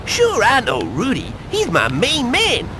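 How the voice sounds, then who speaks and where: A man playing a cocky, jittery street informant in a video game, excitable and full of jive slang. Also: extremely high pitch, unhurried, noisy background, exaggerated voice. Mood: sly. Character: jittery, cocky male street informant, fast-talking hustler. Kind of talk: video game cutscene acting.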